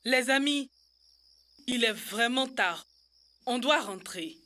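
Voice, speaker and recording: Earnest young cartoon girl: high, bright delivery, sensible and instructive. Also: expressive, slow, heavily acted. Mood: concerned. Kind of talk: French-dubbed educational cartoon acting.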